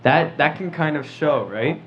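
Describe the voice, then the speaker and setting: A man's voice: on the high side, expressive, unhurried, faint music behind. A teenage male podcast host leading a casual group discussion, often checking in with "right?" as he explains and prompts. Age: teens. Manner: prompting with frequent "right?" tags. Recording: dull lo-fi audio, background noise.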